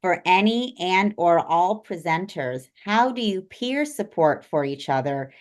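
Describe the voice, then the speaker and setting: Low-voiced woman moderating a panel. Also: animated, measured pace. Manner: warm, affirming, reads audience questions.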